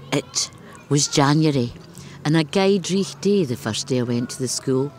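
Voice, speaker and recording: A woman's voice: deep voice, darkish tone, slight background noise. A woman with a Glasgow accent, a poet talking in an interview and reading a poem aloud in a thoughtful manner.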